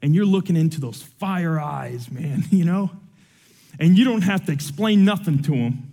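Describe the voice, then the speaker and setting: Young man preacher, earnest, emotional, conversational; slightly higher voice. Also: expressive, normal speed, vocal fry, dark tone, big-room sound. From Cuba. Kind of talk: sermon with personal testimony.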